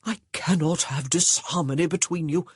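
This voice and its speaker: A narrator reading a pompous, easily flustered young gentleman who scolds sternly but wavers. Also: a little high, normal speed, slightly rough, dry recording, studio quality. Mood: earnest. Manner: stern, blustering, uncertain.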